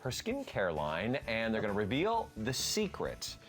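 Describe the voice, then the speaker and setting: A man's voice: on the low side, wildly swooping pitch, measured pace, music in the background. A man hosting a daytime TV talk show, presenting in an upbeat, friendly way. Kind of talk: daytime TV interview. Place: TV studio.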